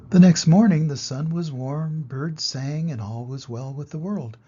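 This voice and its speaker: An older man reading a children's story aloud as a grandfather, with expressive, deliberate narration and dramatic emphasis. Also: fairly high pitch, slightly rough, muffled recording.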